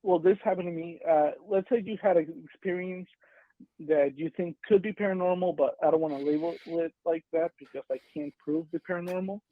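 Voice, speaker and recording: A man's voice: a little high, fairly quick, dry recording. A man with a foreign accent calling in to a show, asking his question politely and carefully. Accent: foreign, non-native English. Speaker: caller. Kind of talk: casual call-in question. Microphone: remote call. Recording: thin band-limited phone-like call line.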